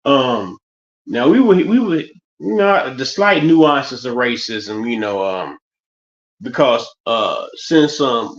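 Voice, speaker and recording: A man, talking through a casual stream monologue. His voice sits at an average pitch and wanders through stories with restarts and fillers. The audio is a little dull and lo-fi. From United States. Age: 50s. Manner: rambling, loose, many restarts and fillers. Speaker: stream host.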